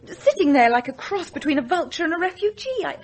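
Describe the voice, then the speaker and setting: An actress playing a blunt, disdainful upper-class daughter of the house in a radio drama, with an English accent. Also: female voice, very high-pitched, very animated, quick pace, heavily acted.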